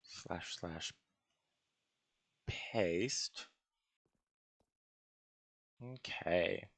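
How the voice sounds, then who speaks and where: A man with a fairly low, gravelly voice talks through a building game in a casual way. He speaks slowly, musing to himself and often hesitating, and the sound is close and dry. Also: a bit bright, no room sound. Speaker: gaming video host. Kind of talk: casual Minecraft building commentary, thinking aloud.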